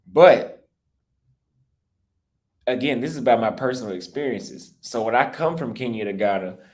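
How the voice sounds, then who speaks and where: A man's voice: medium pitch, lively intonation, normal speed, a little mellow. A young American man livestreaming as a travel vlogger, talking casually and defensively in long rambling stretches with restarts, his voice a bit cracked. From United States. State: voice slightly cracked. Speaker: travel YouTuber live-streaming. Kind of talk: casual livestream talk reading super chats.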